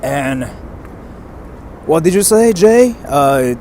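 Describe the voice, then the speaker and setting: A young man with a slightly high voice talks through a casual outdoor live stream, rambling from topic to topic and reading out viewer comments. Faint traffic and street noise run underneath his voice. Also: lively intonation, drawn-out words, dark tone. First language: bilingual English and Japanese.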